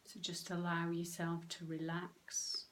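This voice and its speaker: A woman leading a guided meditation, speaking gently and unhurriedly in short phrases with long pauses. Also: lowish voice, monotone, noisy background. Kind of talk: guided meditation, soothing instruction.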